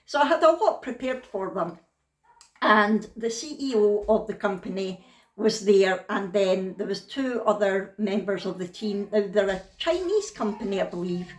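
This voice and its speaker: A woman's voice: medium pitch, echoey room, poor audio. A woman with a thick Scottish accent, chatting frankly and down to earth in a casual vlog. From Scotland. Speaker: vlogger.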